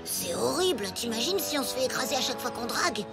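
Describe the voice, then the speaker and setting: A woman's voice: fairly high pitch, expressive, fast talker, music in the background. A woman playing an earnest, slightly doubtful ten-year-old schoolboy in a French cartoon, speaking hesitantly. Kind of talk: French animated cartoon dialogue.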